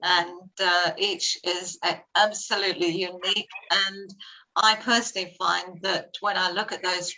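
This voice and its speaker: A woman's voice: lowish voice, level tone, unhurried, pushed and bright, recorded from a distance, poor audio. A New Zealand woman interviewing a guest, speaking admiringly with some fillers. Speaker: interviewer from a wellness centre. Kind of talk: casual interview.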